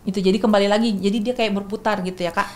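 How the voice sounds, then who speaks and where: A woman's voice: mid-range voice, steady tone, rapid-fire, a little mellow. Young woman from explaining. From Makassar, Indonesia. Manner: thoughtful, many restarts and fillers. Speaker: guest speaker. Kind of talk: explanatory podcast talk.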